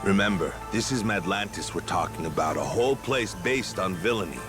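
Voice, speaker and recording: An actor playing a nervous, knowledgeable sailor, speaking in an emphatic, explanatory way in a game cutscene. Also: male voice, sits low, animated, a little fast. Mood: wary. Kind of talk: dramatic game cutscene acting. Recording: music under the voice.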